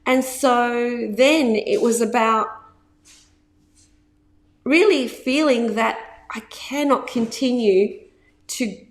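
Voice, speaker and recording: A woman in her fifties with a slightly high voice talks casually to camera as a business coach. She leans on repeated words for emphasis and laughs easily between points. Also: slow pace, pauses often.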